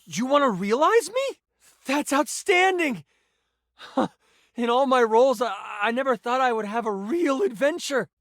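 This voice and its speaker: A man's voice: very high-pitched, very animated, unhurried, a bit bright, dry recording, clean studio sound, heavily acted. An actor voicing a personified gaming die as a nerdy, earnest, awkward man who stammers and gets theatrical, in video game style. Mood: excited, heroic. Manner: hesitant, stammering, effusive, theatrical gamer talk.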